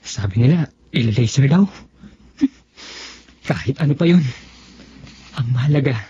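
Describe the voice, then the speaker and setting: A man's voice: mid-range voice, animated, normal speed, mellow, lightly acted. A young male actor playing a devoted, desperate son in a radio drama, speaking earnestly and emotionally. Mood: worried, earnest. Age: young adult. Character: devoted, desperate young son turned drug seller, defensive. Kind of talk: Tagalog radio drama acting. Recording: dull lo-fi audio, faint background noise.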